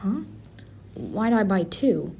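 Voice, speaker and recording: A man acts out a male tsundere office worker in a roleplay audio, prickly and easily flustered. He draws his words out slowly, grumbling and stammering defensively to hide a crush. A faint rumble of office and city noise sits behind him. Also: sing-song, gravelly, dark tone, full character voice. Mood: defensive, snappy.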